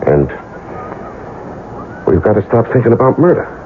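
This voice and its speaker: A man's voice: mid-range voice, very animated, normal speed, lightly acted. An actor playing a wary, exasperated man reluctantly plotting a crime in a 1980s radio drama, arguing cautiously. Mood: firm, reasoning. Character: wary, skeptical male lover reluctantly plotting a murder. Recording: dull, noisy old radio broadcast recording.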